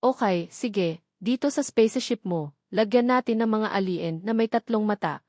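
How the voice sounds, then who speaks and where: Woman voicing a gentle, playful young nanny. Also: medium pitch, normal speed, no room sound, clean studio sound, natural voice. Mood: playful, encouraging. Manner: soft, coaxing.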